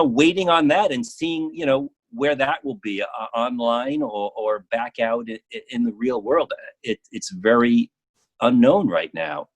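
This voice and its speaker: A middle-aged man talks in a casual, conversational way, as a guest in an interview. His pitch sits in the average range for a man. He is halting, often doubling back on words while he thinks. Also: poor audio. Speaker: interview guest, playwright and proofreader.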